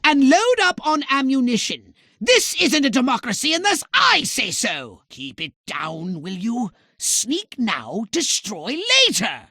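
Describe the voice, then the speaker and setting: A tiny, haughty alien commander for a video game. The voice is piercing and sharp, leaping dramatically in pitch, recorded very dry and close. The delivery is theatrical, scolding and impatient, with pompous, clipped formal diction. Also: male voice, big pitch swings, unhurried, slightly rough, no echo, heavily acted. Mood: commanding, then hushed warning. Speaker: mission controller giving orders.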